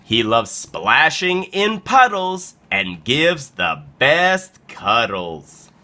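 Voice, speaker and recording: A man's voice: medium pitch, very animated, extremely slow, sharp tone. A man reading a children's picture book aloud in a playful, dramatic storytelling style.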